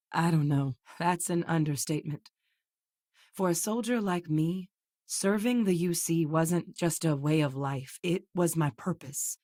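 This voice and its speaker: A woman voicing a seasoned, earnest female soldier and xenobiologist in studio video game dialogue, measured and sincere. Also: low-pitched, darkish tone, no room sound. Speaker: game character voice actor. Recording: dry studio recording.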